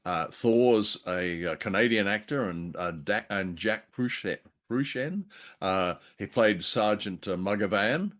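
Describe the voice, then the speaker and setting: A man co-hosting a conversational review of radio drama, speaking in a halting, wry way. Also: on the low side, animated, a bit bright. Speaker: co-host and commentator.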